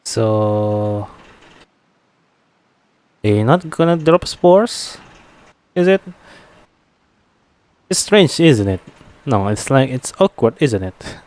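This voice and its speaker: A man giving casual live gameplay commentary as a streamer, in short halting reactions with frequent tag questions. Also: medium pitch, big pitch swings, a little mellow. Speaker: game streamer.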